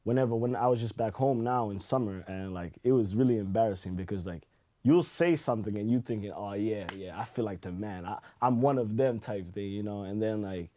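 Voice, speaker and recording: A man with a very low voice and a warm, dark tone. He chats casually as a podcast guest, telling stories in an easygoing, rambling way full of "you know" and "like". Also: brisk. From Zimbabwe. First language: Shona. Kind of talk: casual chat.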